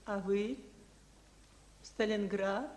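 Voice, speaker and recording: An actress in a Soviet radio drama playing an exhausted, grieving wartime refugee woman, speaking haltingly and quietly. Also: medium pitch, slight background noise, lightly acted. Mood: weary grief. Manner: halting, weary, quiet speech.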